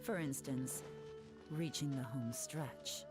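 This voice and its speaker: Shrewd game investigator; low, measured, dry tease; score under. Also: female voice. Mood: analytical. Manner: measured, analytical. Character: cool, shrewd female investigator, calm and teasing. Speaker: game character. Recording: game audio with background music.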